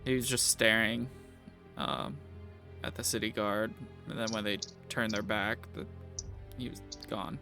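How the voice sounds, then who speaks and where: A man narrating a tabletop game as game master, improvising with a halting, deliberate delivery. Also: lowish voice, steady tone, creaky, sharp tone, soft background music.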